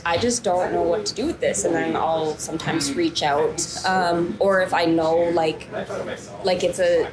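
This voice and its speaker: A woman's voice: fairly low pitch, low-quality recording. A woman rapper being interviewed, talking casually with lots of fillers and restarts. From Canada. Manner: chatty, many fillers and restarts. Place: downtown coffee shop. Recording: busy coffee shop chatter behind.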